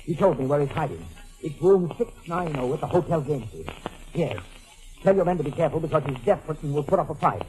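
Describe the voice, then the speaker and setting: An actor playing a nervous, treacherous false friend, earnest and urgent in 1940s radio mystery style. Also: male voice, on the high side, animated, fast, darkish tone, natural voice, poor audio. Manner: earnest, urgent, faltering under pressure.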